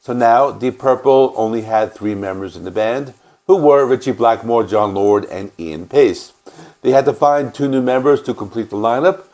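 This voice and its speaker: A man with a stuffy cold, hosting a music channel and calmly explaining an album's history in a casual, matter-of-fact way. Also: mid-range voice, moderate pace. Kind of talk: casual record review and band history.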